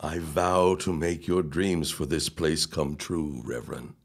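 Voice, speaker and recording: An actor playing an ambitious young protégé in an audio drama, speaking in a formal, deferential manner. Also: male voice, a bass voice, unhurried, full character voice.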